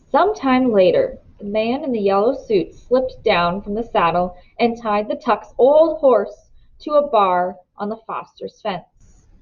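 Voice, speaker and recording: A woman narrating a novel aloud in an expressive storybook reading style. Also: medium pitch, muffled recording.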